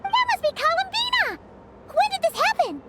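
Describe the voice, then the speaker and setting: A woman voicing a tiny, excitable, childlike fairy companion in a video game, chirpy and full of exclamations. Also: very high-pitched, normal speed, loud music behind the voice. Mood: excited. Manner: chirpy, exclaiming, refers to herself in third person. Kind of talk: animated video game voice acting.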